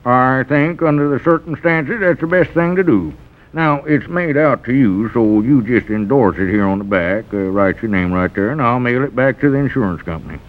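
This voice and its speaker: An actor playing a scheming, smooth-talking rural squire in a 1940s radio comedy, falsely obliging and hemming as he wheedles. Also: medium pitch, moderate pace, natural voice. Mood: sly, wheedling. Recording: dull vintage radio broadcast recording.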